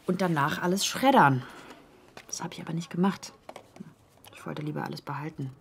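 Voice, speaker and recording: A woman's voice: low voice, moderate pace, creaky, slight background noise. An actress playing a young woman who secretly passes on documents, in a radio drama. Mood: confiding.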